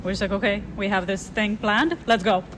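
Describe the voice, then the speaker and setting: A woman's voice: mid-range voice, fairly even intonation, a little fast, fairly bright tone. A young woman co-hosting a casual chat, agreeable and full of small yeahs, stating points plainly. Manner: agreeable, backchannels a lot, then states points plainly. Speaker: co-host. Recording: noisy recording.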